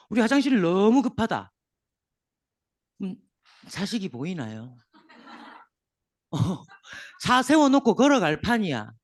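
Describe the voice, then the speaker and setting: A man delivers a motivational seminar talk to business owners. His throat is sore and his voice comes out hoarse. He keeps his pitch lively and animated and teases the audience with questions, and the sound is dry and close. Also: dry recording. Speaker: seminar lecturer. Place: lecture room in front of an audience.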